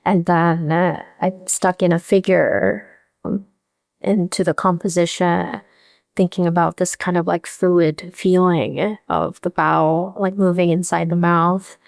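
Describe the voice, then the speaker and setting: A woman painter, a native Korean speaker, answering interview questions thoughtfully with many pauses and fillers. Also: lowish voice, little pitch movement, vocal fry, dry recording.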